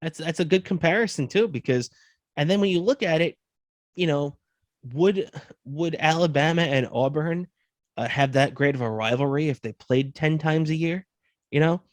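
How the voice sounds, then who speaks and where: A man's voice: a little high, normal speed, dry recording. Man in his thirties talking sports, dry sound. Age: 30s. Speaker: sports podcast co-host. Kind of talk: casual sports talk.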